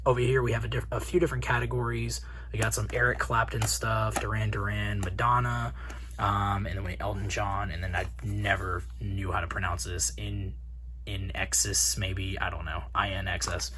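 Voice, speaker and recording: A man's voice: on the low side, little pitch movement, moderate pace, fairly bright tone. Casual American man vlogging, noticeable background noise. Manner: informal, slangy. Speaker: host of a video channel about reselling collectibles. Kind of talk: casual vlog commentary.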